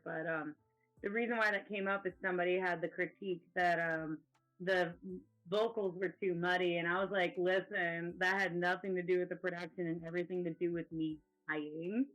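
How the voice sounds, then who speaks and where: Brief: male band frontman, naturally raspy, bright edgy tone, animated, laughs easily, faint music under. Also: high-pitched, a little fast, highs cut off. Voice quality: natural rasp. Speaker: band co-founder and singer. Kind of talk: casual interview chat.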